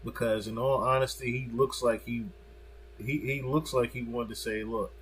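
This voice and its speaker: A man's voice: medium pitch, steady tone, normal speed, slight background noise, poor audio. A man commenting on a video in a casual, emphatic way, repeating himself for stress and swearing freely.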